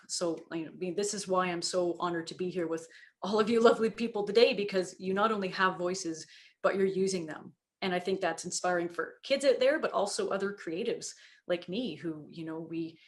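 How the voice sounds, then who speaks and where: A woman speaking earnestly on a panel discussion about children's books, reflective and steady, with frequent "you know" fillers. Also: fairly low pitch. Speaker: children's book creator on a panel.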